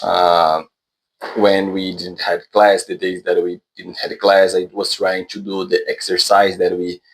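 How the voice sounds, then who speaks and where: Low-pitched Brazilian man, halting learner English. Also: little pitch movement, breathy edge, rough recording. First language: Portuguese. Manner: hesitant, halting, self-correcting non-fluent English. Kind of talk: unscripted casual monologue to viewers.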